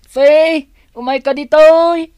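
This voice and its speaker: A woman's voice: high voice, steady tone, slow pace, a little mellow, no room sound. A woman playing a little boy, a child playmate, in a radio drama with a Filipino accent. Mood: eager. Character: little boy, hungry playmate and coaxed son.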